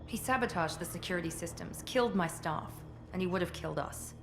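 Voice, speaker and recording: A woman's voice: fairly low pitch, fairly quick, music in the background, close to an everyday voice. A woman playing a cool, self-assured, ruthless covert operative in a video game, with a clipped, haughty, businesslike delivery. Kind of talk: video game cutscene acting.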